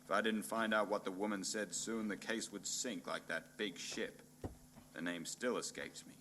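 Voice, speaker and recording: A man playing a hard-boiled, pompous and bumbling private detective in a noir radio play parody, narrating in a deadpan, melodramatic way. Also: fairly low pitch, moderate pace, background noise, close to an everyday voice. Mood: deadpan brooding.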